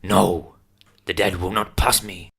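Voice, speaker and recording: A man's voice: low-pitched, fairly even intonation, slow pace, creaky, very noisy, full character voice. A man playing a huge, fanatically loyal monster guard, menacing and accusatory in a declamatory radio drama delivery.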